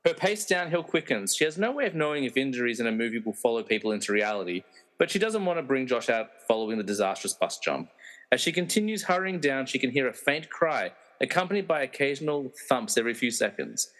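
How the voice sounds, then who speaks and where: A man's voice: medium pitch, sing-song, fast, a bit bright, low-quality recording. A man narrating a radio play, reading out scripted scene directions.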